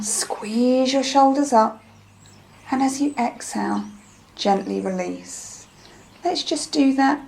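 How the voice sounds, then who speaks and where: A woman leading a guided meditation in a gentle, soothing, unhurried manner, with phrases that trail off softly. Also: fairly high pitch, poor audio. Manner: soothing, gentle, unhurried instruction with soft breathy phrase endings.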